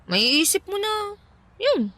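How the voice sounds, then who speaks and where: A woman's voice: extremely high pitch, big pitch swings, slow pace, pushed and bright, no room sound, full character voice. A Filipino actress playing a playful, pushy young woman matchmaking for a friend in a radio drama. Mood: earnest persuading. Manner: giggly, teasing, persuasive.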